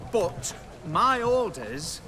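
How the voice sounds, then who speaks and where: A pompous little British soldier in a fantasy game, stiff and obsessed with rules. The voice is high, sharp and very animated, with faint outdoor noise behind it. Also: male voice, very slow, full character voice. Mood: flustered. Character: officious, pompous, rule-bound low-ranking soldier man. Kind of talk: video game voice acting.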